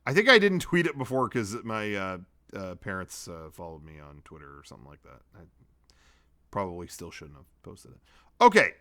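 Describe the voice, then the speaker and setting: A man's voice: deep voice, sing-song, moderate pace, a bit bright. A man hosting a comedy web show, reading out his own drafts and adding blunt, sweary, casual commentary.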